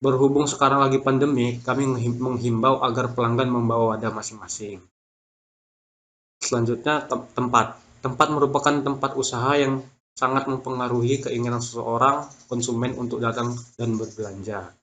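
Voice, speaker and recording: A man's voice: medium pitch, little pitch movement, normal speed, a bit bright. A young man reading a prepared business presentation, stumbling on words and restarting them.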